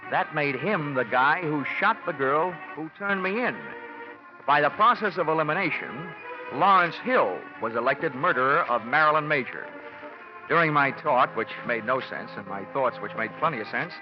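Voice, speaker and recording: An actor playing a cocky, world-weary private detective with a wry, slangy delivery in a classic radio play. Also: male voice, mid-range voice, natural voice. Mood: tense narration. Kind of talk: classic radio detective acting with first-person narration. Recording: muffled old radio recording, music behind.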